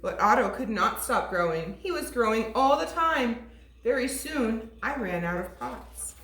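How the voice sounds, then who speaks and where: A woman teacher reading a storybook aloud to toddlers in an expressive, sing-song way. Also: fairly high pitch, measured pace. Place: classroom. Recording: echoey room.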